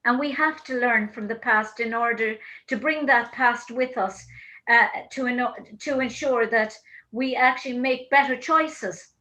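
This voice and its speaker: A woman's voice: fairly high pitch, sharp tone, poor audio. Irish woman hosting a video-call interview. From Ireland. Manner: thoughtful, halting, many restarts. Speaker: host.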